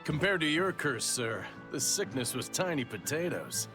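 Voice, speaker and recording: An actor playing a grumbling, excitable working-class sailor with a gravelly voice and folksy, exclamatory delivery in a video game. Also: male voice, a little high, normal speed, music in the background.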